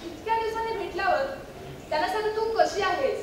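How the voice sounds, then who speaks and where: A woman's voice: very high voice, lively intonation, brisk, background noise. A woman acting a feisty, candid young woman in an amateur stage play, speaking in a conversational but projected way. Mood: animated recounting. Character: feisty, candid young woman confessing love to a male friend. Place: stage of a one-act play. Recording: very echoey theatre hall, distant mic, music.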